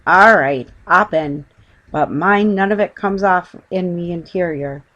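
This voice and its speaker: Woman putting on a gruff Cockney taxi driver. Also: on the low side, measured pace, fairly bright tone, highs cut off. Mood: grudging, gruff. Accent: London Cockney. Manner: gruff, bitter, dropped aitches. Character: gruff, grumbling working-class male London taxi driver.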